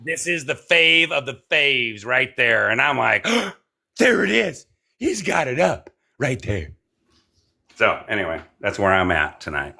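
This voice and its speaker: An excitable man speaks in a gushing, exclamatory way on a casual group call. His voice is gravelly and very bright, with a sharp, cutting tone. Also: medium pitch, a little slow.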